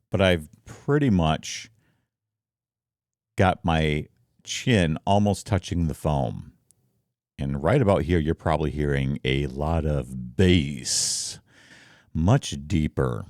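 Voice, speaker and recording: A man with a very deep voice gives a casual, explanatory talk in a streamer's style. His delivery is informal and demonstrative. The sound is dry and close, recorded on a microphone near his mouth in a treated room. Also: animated, slow, long pauses, darkish tone, dry recording. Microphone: close foam-covered microphone, slightly offset from mouth.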